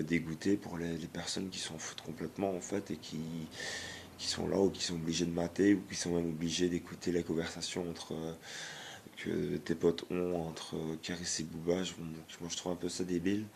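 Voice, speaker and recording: A man, hoarse from a cold, talks in a deep, gravelly voice. He hesitates often, restarting phrases, yet his judgments come out blunt. A faint hiss of noise sits behind him. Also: flat delivery, moderate pace, a little mellow. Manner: hesitant, many fillers and restarts; blunt, disapproving. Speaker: YouTuber. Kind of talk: casual vlog rant.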